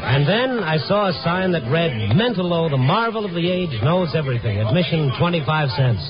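An American actor playing a wry, world-weary newspaper reporter, narrating in a conversational hardboiled 1950s radio drama style. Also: male voice, on the high side, animated, fairly quick, natural voice. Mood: wry, musing. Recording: dull vintage radio recording, music behind.

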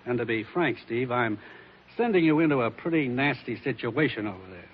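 A man's voice: medium pitch, expressive, a little fast, a little hiss or hum, lightly acted. Casting: actor for a matter-of-fact male commissioner, dry authority, 1940s radio style, muffled recording. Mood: grave, businesslike.

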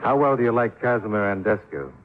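An actor playing a hard-boiled American insurance investigator, narrating and talking in a dry, clipped 1950s radio drama style. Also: male voice, lowish voice, expressive, fairly quick, noisy background, close to an everyday voice. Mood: wry. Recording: tinny 1950s radio recording, loud music.

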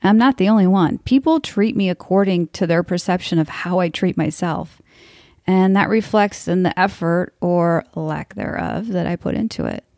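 A woman's voice: lowish voice, moderate pace, darkish tone, no echo, clean studio sound. A woman in her forties hosting a podcast, talking in a frank, blunt and wry way. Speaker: podcast host. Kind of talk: scripted podcast monologue.

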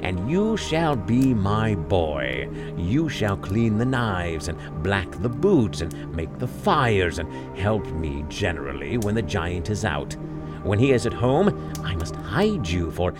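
A man's voice: low voice, sing-song, slow, music in the background, full character voice. A man voicing a frightful giantess, weary, bossy and scheming, in a theatrical storybook reading. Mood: gleeful, bossy. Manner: theatrical, commanding.

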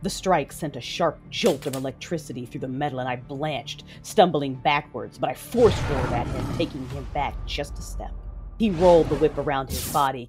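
A woman playing a determined saber-wielding warrior, narrating a duel in a measured, grim first person. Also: extremely low pitch. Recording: music behind the voice.

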